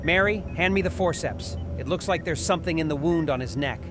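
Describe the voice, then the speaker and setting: Male hospital doctor acted by a man, horror radio play: fairly high voice, clipped, authoritative, music bed behind. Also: fairly quick, natural voice. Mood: focused, commanding. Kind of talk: horror audio drama acting.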